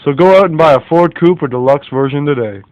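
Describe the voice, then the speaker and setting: A man's voice: fairly high pitch, fast, no room sound, dull audio. A man reading a scripted advertisement in the style of 1940s radio. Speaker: commercial announcer.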